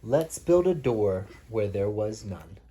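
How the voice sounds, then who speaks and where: A man reading picture books aloud as a father at bedtime, playfully pausing to chat with his child. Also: lowish voice, very animated, dark tone, slight background noise. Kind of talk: read-aloud picture book storytelling mixed with casual chat.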